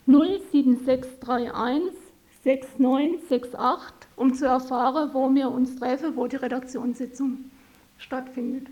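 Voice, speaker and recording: A woman's voice: high voice, steady tone, unhurried, mellow. A woman from a community radio team presenting her project live on air. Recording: dull lo-fi radio recording.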